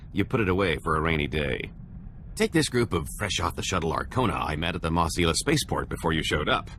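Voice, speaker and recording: A man's voice: deep voice, a bit bright, slight background noise. A man playing a sly, greedy con artist in a video game, with a glib, smug, scheming way of talking. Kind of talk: video game cutscene acting.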